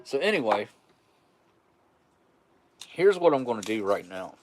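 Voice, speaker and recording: A man's voice: on the low side, very animated, measured pace. A man in his forties hosting a live stream, chatting casually with viewers in a rambling, chuckling, easygoing way. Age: 40s. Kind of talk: casual live chat reading viewer messages.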